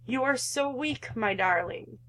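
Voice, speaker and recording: A woman's voice: high-pitched, animated, measured pace, fairly bright tone. A woman voicing a cold, belittling, controlling mother in a visual novel, with a condescending, guilt-tripping manner. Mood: pitying contempt.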